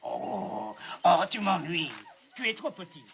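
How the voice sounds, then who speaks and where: A man playing a grumpy, self-important old villager in an animated film, grumbling and pompous. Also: sits high, slow, gravelly, heavy background noise, exaggerated voice.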